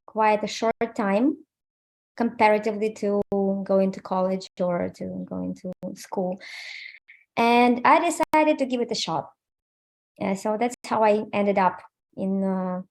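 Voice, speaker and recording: A woman's voice: medium pitch, a little mellow. A woman in her thirties from Ukraine, an English speaker, talking in a casual interview over a video call with many fillers. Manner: hesitant, many fillers, plain and candid. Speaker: interview guest, QA automation engineer.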